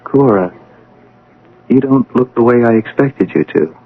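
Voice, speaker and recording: A bewildered, wildly swooping young scientist. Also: male voice, medium pitch, lively intonation, phone-quality sound. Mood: subdued, wistful. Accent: American. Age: young adult. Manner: intense, theatrical, halting. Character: proud young male scientist, bewildered and shaken by the afterlife. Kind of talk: radio drama acting. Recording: noisy, band-limited old radio broadcast.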